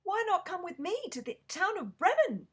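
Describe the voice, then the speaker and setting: A woman voicing a hopeful male donkey in a storybook, loud and cheerful. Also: extremely high pitch, sing-song, normal speed, voice pushed up, full character voice. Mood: eager.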